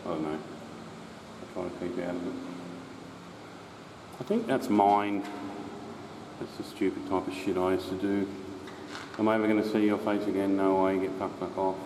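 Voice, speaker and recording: A laid-back man with a fairly low voice rambles as he walks through an underground drain. His words bounce around the concrete tunnel, and a steady rush of noise sits underneath. He swears freely and talks in a loose, unhurried way. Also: reverberant. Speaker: drain explorer host. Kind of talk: casual walkthrough narration.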